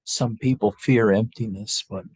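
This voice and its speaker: A man with a husky, fairly low voice leads a guided meditation, recorded close and dry. He talks as a spiritual teacher to his group, unscripted and halting. Long pauses separate his short phrases. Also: fairly even intonation, darkish tone, no echo.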